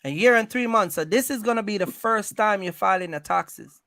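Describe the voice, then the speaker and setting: Man, creaky high voice, dry close sound, emphatic, repetitive, conversational advice. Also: lively intonation, normal speed, bright, forceful tone, dry recording. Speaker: host giving business advice to truck owners. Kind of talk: animated advisory conversation.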